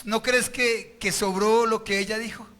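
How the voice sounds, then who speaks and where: A man's voice: high-pitched, fairly even intonation, a little slow, pushed and bright. A Colombian man preaching a sermon as pastor at a church service, firing rhetorical questions at the congregation.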